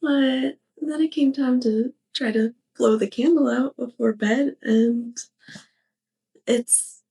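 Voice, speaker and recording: Breathy, unhurried young witch played by a woman. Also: sits high, steady tone, mellow, full character voice, poor audio. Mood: sheepish, amused. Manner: hesitant, halting, giggly. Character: young clumsy, sheepish, affectionate witch neighbor. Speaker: ASMR roleplay performer. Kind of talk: soft ASMR roleplay monologue to the listener.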